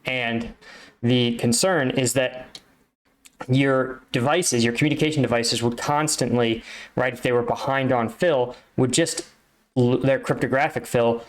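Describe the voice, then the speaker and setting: Medium-pitched man giving informal commentary. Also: unhurried. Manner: didactic, seeks agreement with rhetorical checks. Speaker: military veteran reacting to game cutscenes.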